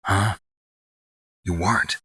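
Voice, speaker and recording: A hard-bitten game soldier with a deep, heavily rasping voice. He speaks tersely and intensely, with a forceful edge, recorded close and dry. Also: steady tone, slow pace, dry recording, full character voice. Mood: puzzled. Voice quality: gravelly, raspy. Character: gruff, world-weary male covert soldier. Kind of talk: dramatic video game voice acting.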